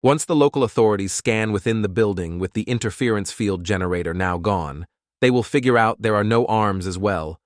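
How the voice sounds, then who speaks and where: A man playing a calm, stubborn priest who captains a starship in an audio drama, speaking in terse, firm lines. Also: fairly low pitch, fairly quick, no room sound, clean studio sound, close to an everyday voice. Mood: firm, defiant. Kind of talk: fan audio drama acting.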